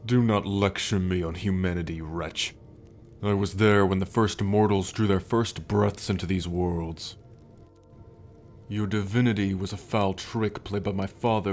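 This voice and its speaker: A man's voice: deep voice, steady tone, normal speed, a little mellow, close to an everyday voice. An actor playing an arrogant, brooding male sun god in an ASMR roleplay drama, with a scornful, formal, imperious manner. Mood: haughty anger. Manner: haughty, scornful, formal, sighing. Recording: background music under the voice.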